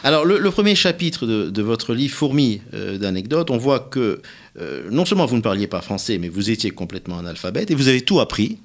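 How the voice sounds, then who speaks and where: A man hosting a radio interview, putting long, deliberate, prepared questions to his guest with some hesitation. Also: mid-range voice, big pitch swings. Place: radio studio.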